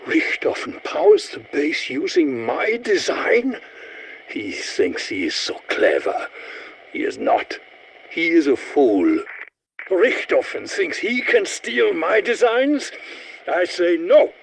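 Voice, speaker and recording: An actor playing an aging, polite but indignant German scientist in a video game, speaking in a formal, pedantic, theatrical way with a German accent. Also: male voice, fairly high pitch, huge pitch swings, slow pace, vocal fry, slight background noise, heavily acted. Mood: indignant, scornful. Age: older. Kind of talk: video game character voice lines.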